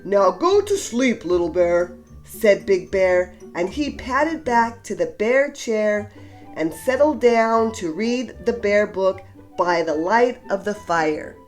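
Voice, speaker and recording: A woman reading a children's storybook aloud with deliberate, expressive storytelling emphasis. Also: mid-range voice, faint music behind. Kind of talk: expressive read-aloud narration for young children.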